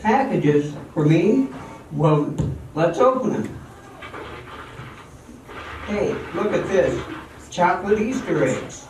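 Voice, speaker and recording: A man's voice: sits high, lively intonation, slow, long pauses. A man playing a bewildered, flustered leading man in an old-time radio drama, heard from a distance in a very echoey room. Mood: puzzled. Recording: very echoey, distant stage mic with faint noise.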